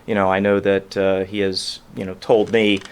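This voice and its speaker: A man's voice: low voice, level tone, normal speed, clear, resonant tone. A man hosting a studio interview show, talking in an upbeat, chatty way. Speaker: show host. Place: local radio studio.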